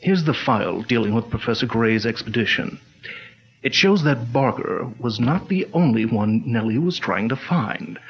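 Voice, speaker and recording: A man's voice: mid-range voice, lightly acted. Male actor as a commanding crime-fighting hero of vintage radio; declamatory, muffled old recording. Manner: firm, declamatory, authoritative. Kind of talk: 1940s radio acting.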